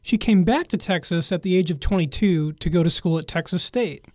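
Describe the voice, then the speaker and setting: A man reading a scripted news feature as the reporter, in a measured way. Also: high-pitched, darkish tone, slight background noise.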